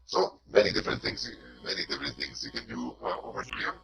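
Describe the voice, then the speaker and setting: A man speaking casually as a deep villain through a pitch-lowering voice changer, with a gravelly electronic sound. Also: animated, fast talker, sharp tone, heavily acted. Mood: amused, casual. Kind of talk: casual software demo.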